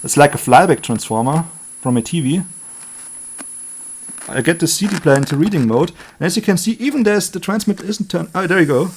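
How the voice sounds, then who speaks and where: A man giving an unscripted hands-on electronics demonstration as a hobbyist, thinking aloud in short, halting remarks. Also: a little high, expressive, slight background noise.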